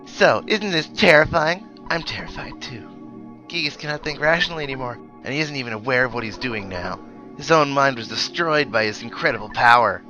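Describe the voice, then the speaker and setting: A man's voice: mid-range voice, fairly quick, bright, forceful tone, faint music behind, natural voice, poor audio. A man voicing an obnoxious, gloating boy villain from a video game, with a taunting, theatrical, mock-pitying delivery. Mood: mocking menace. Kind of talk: read-aloud video game villain dialogue.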